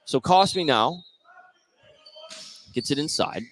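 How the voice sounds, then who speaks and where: A man commentates a basketball game live for radio. His voice sits a little higher than average for a male, and he tracks the action in short, play-by-play phrases. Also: expressive, takes it slowly, fairly bright tone.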